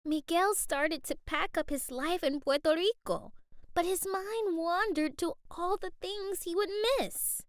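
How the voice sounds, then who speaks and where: A woman narrating a children's picture book aloud in an expressive, carefully paced storytime style. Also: very high-pitched, unhurried, dry recording, studio quality.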